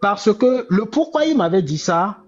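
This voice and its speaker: A man's voice: high voice, big pitch swings, measured pace, highs cut off, faint music behind. A man, making impassioned political commentary and repeating key phrases with many restarts. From Togo. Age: 40s. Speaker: commentator on a live discussion.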